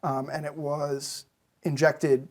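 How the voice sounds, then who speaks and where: A man's voice: on the high side, flat delivery, takes it slowly. A young man from Massachusetts, a medical student answering interview questions with careful, hesitant explanations. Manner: explanatory, hesitant with frequent ums.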